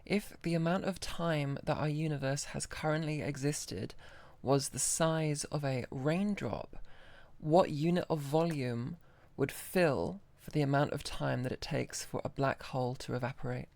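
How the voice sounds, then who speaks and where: A woman casually questioning a conversation partner as an interviewer, polite, curious and gently persistent. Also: low voice, a little slow, vocal fry, no echo. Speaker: interviewer testing an AI voice.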